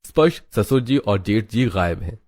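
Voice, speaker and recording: A man narrating a cartoon story in a steady, scripted way. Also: on the low side, moderate pace.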